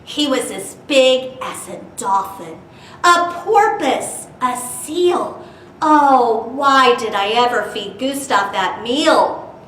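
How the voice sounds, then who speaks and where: A woman with a bright, forceful voice leads a children's storytime in an expressive, dramatic way. Her pitch sits fairly high and she takes her time, addressing young listeners directly. The recording is roomy and a little distant. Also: slow. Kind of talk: animated children's storytime reading. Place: indoor room, virtual storytime. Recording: roomy, distant mic with background noise.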